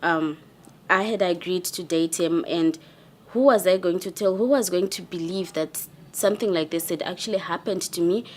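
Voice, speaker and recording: A woman in her twenties giving quiet, hesitant personal testimony to camera. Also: on the low side, normal speed. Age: 20s. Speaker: interviewee.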